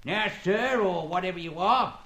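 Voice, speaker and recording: In a roomy, echoing radio scene, a British night watchman with a remarkably high voice for a man. He is curt and officious, handing out orders in blunt working-class speech. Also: moderate pace, a bit bright. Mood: warning. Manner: curt, bossy, colloquial. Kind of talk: old-time radio detective drama acting. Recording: echoey old radio recording.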